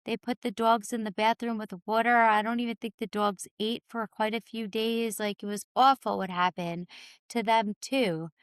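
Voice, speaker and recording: A woman with a raspy voice, telling her own story candidly and informally as a podcast guest. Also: on the high side, fairly even intonation, moderate pace, no echo. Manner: informal, peppered with "like" and "you know".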